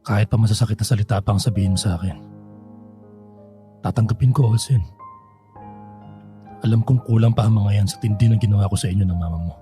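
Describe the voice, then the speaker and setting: An actor playing a remorseful middle-aged father in a radio drama, speaking haltingly and apologetically. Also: fairly low pitch, soft background music, lightly acted. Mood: remorseful, pleading. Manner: halting, soft-spoken, apologetic. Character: remorseful middle-aged father, hesitant, pleading, hiding his true self.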